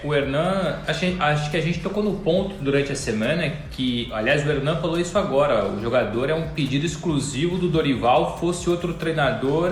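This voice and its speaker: A man's voice: a little high, reverberant. A man giving football commentary as a pundit on a talk show. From Portugal.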